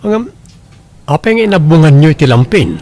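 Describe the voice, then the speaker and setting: A man's voice: a little high, big pitch swings, fairly quick, a crowd in the background. A man playing a plain, familiar village man in an audio drama, with an Ilocano Filipino accent. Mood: curious. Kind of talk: melodramatic radio-style drama acting.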